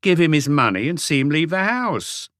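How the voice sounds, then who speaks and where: A man's voice: on the high side, sing-song, moderate pace, fairly bright tone, no echo, clean studio sound, voice pushed up. A man voicing a self-satisfied, disdainful male suspect in his late twenties for an audiobook. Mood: smug. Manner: smug, insistent.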